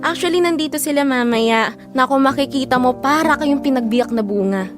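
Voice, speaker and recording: A woman's voice: high-pitched, brisk, over music, natural voice. An actress playing a sweet, trusting young girlfriend in an audio drama. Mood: affectionate.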